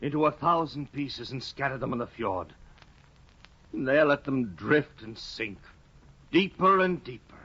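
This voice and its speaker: A man's voice: mid-range voice, expressive, measured pace, sharp tone, breathy edge, full character voice. An actor in a 1950s radio play, voicing a despairing, ruined male scholar who broods bitterly and breaks into anguished outbursts. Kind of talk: 1950s radio drama acting. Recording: dull 1950 radio broadcast.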